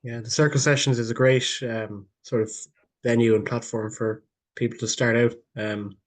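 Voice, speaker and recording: A man hosts a podcast interview, circling round his questions with plenty of ums and false starts. His voice is at an everyday middle pitch for a man. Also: flat delivery, moderate pace. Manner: rambling, many fillers and restarts. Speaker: podcast host. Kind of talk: casual interview hosting.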